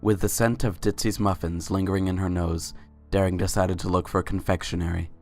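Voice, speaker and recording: Very low-pitched, even-toned story narrator, a woman. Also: brisk, faint music behind. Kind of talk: scripted story narration, dramatic reading.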